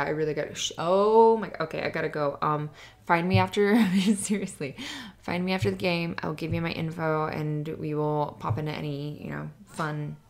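Woman playing a young cheerleader, friendly, flustered, halting, lots of vocal fry, intimate ASMR roleplay. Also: low voice. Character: friendly, bashful young female cheerleader confiding in someone.

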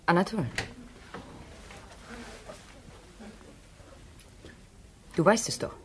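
An actress playing a devoted, insistent wife in a 1950s radio drama, earnest and reproachful. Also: female voice, fairly low pitch, quick pace, lightly acted. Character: insistent, devoted wife pressing her husband. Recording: old radio recording with noise.

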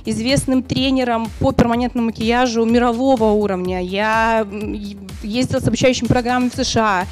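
A woman's voice: on the high side, steady tone, a little fast, soft background music. A woman who is a permanent makeup trainer, telling her story in a reflective, hesitant way. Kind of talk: personal story.

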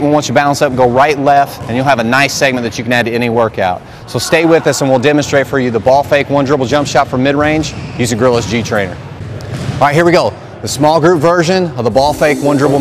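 A man's voice: medium pitch, a little hiss or hum. A man in his thirties, a plain-spoken sports trainer, giving instructions for a drill in short coaching cues. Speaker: basketball skills trainer and coach. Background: music.

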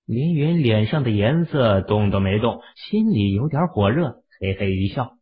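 Male narrator, low voice, animated delivery, audiobook, tinny phone-like sound. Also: normal speed. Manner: expressive storytelling.

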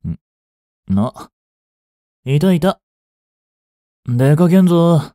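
A man playing a blunt, languid young delinquent and protective childhood friend, drawling gruffly and teasingly in an intimate audio drama. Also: on the high side, big pitch swings, extremely slow, warm tone, dry recording, clean studio sound, heavily acted. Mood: irritated.

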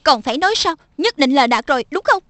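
A woman voicing a lively little male lion-spirit helper who lectures quickly and chirpily in a cartoon dub. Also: high-pitched, no echo. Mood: chirpy.

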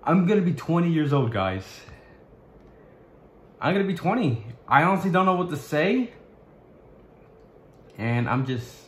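A young man vlogging to his webcam in a small bedroom, talking in a halting way with many restarts as he searches for words. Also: medium pitch, echoey room, a little hiss or hum. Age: young adult. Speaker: YouTuber. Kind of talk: casual vlog to camera.

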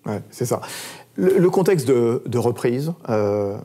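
A man's voice: mid-range voice, animated, takes it slowly, dark tone. A man in his forties interviewing a guest on a studio set, chatty, with many fillers and repeated words. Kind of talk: televised interview.

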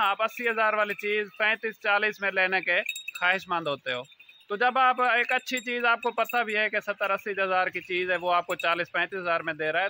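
A man's voice: sits high, pushed and bright, slight background noise. A man in his thirties from Pakistan, speaking, earnestly warns viewers straight into a phone held at arm's length at his outdoor goat farm and keeps calling them his brother. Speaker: goat farm owner and channel host. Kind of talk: selfie-video warning talk to viewers. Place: outdoor goat farm pen, among goats and trees. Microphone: phone front camera at arm's length.

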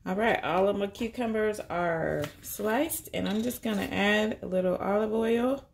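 A woman's voice: medium pitch, a little slow, creaky, over music. A woman, chatting casually and upbeat as she walks through a cooking prep at home, thinking aloud as she goes. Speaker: vegan cooking vlogger. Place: home kitchen.

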